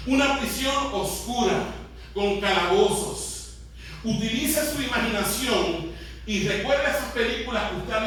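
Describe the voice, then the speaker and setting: A man's voice: high-pitched, a bit bright. A man with a Puerto Rican Spanish accent preaching a teaching sermon as a pastor, often putting questions to the congregation. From Puerto Rico. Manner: interactive, putting questions to listeners. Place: large echoey room, church service. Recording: very echoey hall, distant, faint noise.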